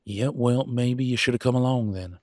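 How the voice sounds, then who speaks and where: A man playing a conflicted werewolf pack alpha in an audio roleplay, arguing emphatically in colloquial, sweary speech with dramatic pauses. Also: mid-range voice, darkish tone, no echo, clean studio sound, lightly acted. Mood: pleading. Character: Damien, conflicted male werewolf pack alpha, defiant and remorseful. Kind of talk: scripted audio roleplay, one side of an argument.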